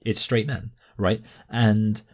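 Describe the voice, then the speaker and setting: A very low-pitched man hosts a commentary, pressing his points hard. His speech is full of "uh"s, "like"s and restarts. A slight cough sits in his voice. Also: steady tone, slow pace. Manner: emphatic, many fillers and restarts. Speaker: commentary host. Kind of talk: argumentative commentary on a video.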